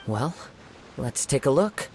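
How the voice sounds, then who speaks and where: A man voicing a calm, perceptive young wandering swordsman in a video game, speaking in a measured, formal way. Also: mid-range voice, noisy background. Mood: focused, calm. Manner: measured, composed, formal diction.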